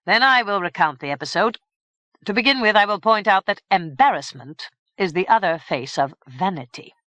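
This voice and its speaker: A woman voicing a stately, grave fairy king who speaks in a measured, formal way. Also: medium pitch, lively intonation, fairly bright tone, dry recording, voice pushed up, close to an everyday voice.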